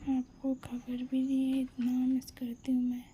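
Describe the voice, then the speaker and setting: A young woman with a soft, breathy voice, often near a whisper, talking in a cutesy, coaxing, affectionate way as a girlfriend on an intimate romantic phone chat. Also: sits high, completely flat delivery, unhurried, slight background noise. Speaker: girlfriend in a one-sided phone-call roleplay.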